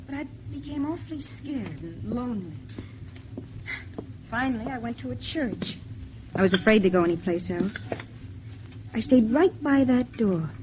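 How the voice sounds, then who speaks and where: A woman's voice: mid-range voice, a little mellow, lo-fi sound, a little hiss or hum, close to an everyday voice. An actress playing a tender, frightened young woman fleeing invaders in a 1950s radio drama, on an old broadcast recording.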